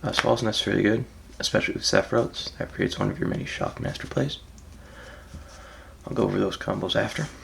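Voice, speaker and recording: A man with a raspy, just-woke-up voice, casually walking through his card deck with frequent ums and soft trailing phrases. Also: lowish voice, brisk, slight background noise. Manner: laid-back, hesitant, frequent ums, trails off softly. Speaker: card game player presenting his deck.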